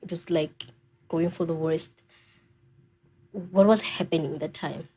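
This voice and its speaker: Mellow-voiced woman hosting a podcast. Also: on the low side, steady tone, unhurried. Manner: stumbling restarts when asking. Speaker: podcast host. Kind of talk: conversational interview.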